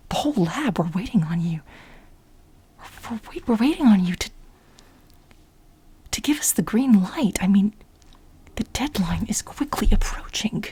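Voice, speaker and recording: A woman playing an obsessive, exasperated administrative assistant in a soft-spoken, whispery ASMR role play, insistent and repeating herself as she pleads with her boss. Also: mid-range voice, low-quality recording. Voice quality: breathy, whispery. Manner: insistent, repetitive, halting for emphasis.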